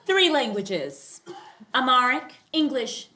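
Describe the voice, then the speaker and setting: A woman's voice: high-pitched, sing-song, slow pace, full character voice. A woman playing a proud, flirty Ethiopian mother in a sitcom read on stage. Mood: cheerful, boastful. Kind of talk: sitcom table read before live audience. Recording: noisy live stage recording.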